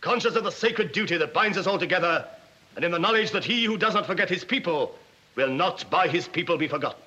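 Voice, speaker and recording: Fanatical Nazi officer in a wartime film: declamatory, high-pitched, forceful, dull vintage soundtrack. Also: male voice, animated, quick pace. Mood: fervent, menacing. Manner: declamatory, fervent, grandiose. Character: fanatical Nazi German officer rousing émigrés with a speech. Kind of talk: theatrical political oratory in a 1940s film.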